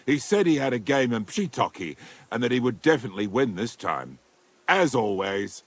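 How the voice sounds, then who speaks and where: A man playing a weary, penitent former outlaw in a medieval video game, speaking gravely and with resignation in a husky, gravelly voice. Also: medium pitch, sing-song, moderate pace. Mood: wry, resigned. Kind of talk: dramatic video game dialogue.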